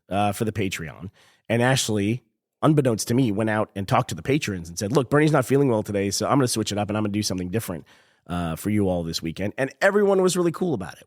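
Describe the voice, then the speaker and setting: A man with a hoarse, sick voice co-hosting a casual podcast, rambling and chuckling at himself. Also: on the low side, no room sound, studio quality. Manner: rambling, chuckling, self-deprecating. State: hoarse from a cold. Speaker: podcast co-host. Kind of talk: casual chat.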